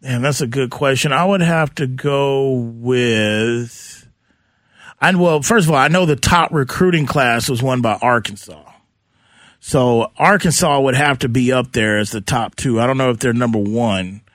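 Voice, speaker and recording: Male sports talk host, informal and conversational, middling pitch, close dry sound. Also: a little slow, a bit bright, no room sound.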